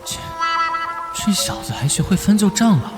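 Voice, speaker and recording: A male actor voicing an earnest, good-natured, easily flustered police detective in an animated drama. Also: sits high, normal speed, over loud music. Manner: conciliatory, earnest.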